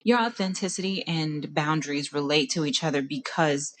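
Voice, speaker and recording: A woman hosting a casual solo podcast, her voice hoarse from crying, talking in a tired, meandering way and stopping to search for words. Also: sits low, normal speed, a little hiss or hum. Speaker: podcast host. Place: at home.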